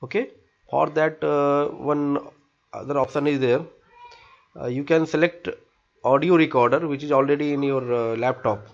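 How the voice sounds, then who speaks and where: Halting Indian-accented man, muffled recording. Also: fairly high pitch, fairly even intonation, gravelly. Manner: halting, step-by-step instructions in short phrases. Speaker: tutorial presenter. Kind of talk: unscripted screen-recording software tutorial.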